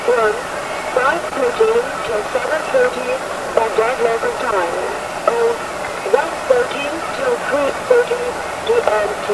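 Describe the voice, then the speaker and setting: A woman radio announcer reading a formal scripted station announcement in deliberate phrases over a shortwave broadcast. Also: very high voice, gravelly. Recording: very noisy shortwave radio reception.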